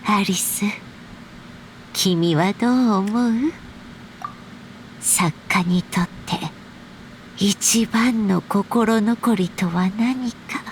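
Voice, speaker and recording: A woman playing a gentle, wistful storybook author in a game, speaking in a measured, reflective way with breathy pauses. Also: medium pitch, a little hiss or hum. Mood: gently questioning. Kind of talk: Japanese game story voice acting.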